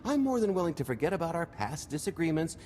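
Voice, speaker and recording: An actor playing a smooth-talking roguish con artist in a video game, breezy, wry and sly. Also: male voice, on the high side, big pitch swings, quick pace, a little mellow, slight background noise. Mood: smug, needling. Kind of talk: video game cutscene acting.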